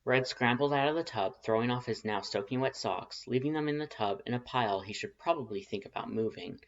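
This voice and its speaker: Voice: medium pitch, brisk. A narrator reading a scripted fan fiction story aloud. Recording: dull lo-fi recording.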